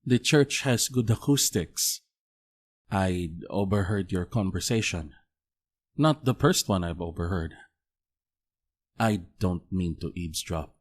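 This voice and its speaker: An actor playing a polite, earnest visiting priest in an audio drama, gentle, hesitant and formal. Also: male voice, low voice, animated, takes it slowly, pauses often, heavily acted. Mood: gentle, reassuring.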